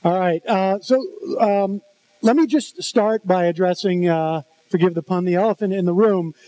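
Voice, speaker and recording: A man moderates a panel in a big convention hall, his voice sitting fairly high. He halts often and fills gaps with "uh" and "um" while he lays out the ground rules. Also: warm tone. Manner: halting, many fillers. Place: large convention hall stage.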